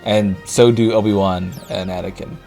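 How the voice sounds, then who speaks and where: A man casually reviewing movies, rambling and correcting himself, his voice worn and going hoarse. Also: on the low side, steady tone, normal speed, mellow, faint music behind. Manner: rambling, self-correcting, laughs often. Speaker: YouTube reviewer.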